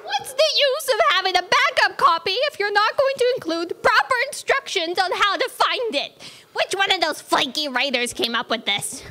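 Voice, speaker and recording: A woman's voice: very high voice, big pitch swings, moderate pace, sharp tone, full character voice. A young woman playing a panicky, squeamish, whiny fact checker in a staged comic audio drama, frantic and wailing. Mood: fretful, exasperated. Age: young adult. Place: theatre stage. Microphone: microphone on a stand.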